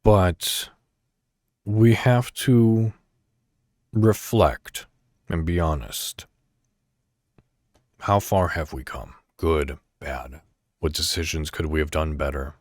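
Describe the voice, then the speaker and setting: A man hosting a podcast, speaking in a reflective solo monologue straight to the listener with deliberate, emphatic phrasing. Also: very deep voice, no room sound, clean studio sound. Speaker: podcast host.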